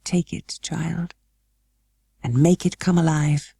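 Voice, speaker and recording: A melancholy, worried gentleman guardian, acted by a woman storybook reader. He speaks slowly and haltingly in a gravelly, dark, weary voice, stiff but kind, recorded close and dry. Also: deep voice, fairly even intonation, no room sound, full character voice. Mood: soft, sad. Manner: slow, halting, worried, well-spoken.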